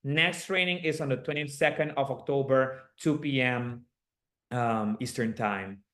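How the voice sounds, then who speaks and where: A man's voice: mid-range voice, unhurried, a bit bright. An upbeat man with a slight Aruban accent runs a live online coaching session and laughs easily between points. His speech is chatty and encouraging. It comes through a video call. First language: Papiamento.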